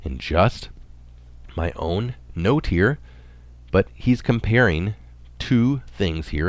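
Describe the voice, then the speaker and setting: A man reading a health article aloud in a measured, explanatory manner, sometimes adding his own notes. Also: low voice, no room sound.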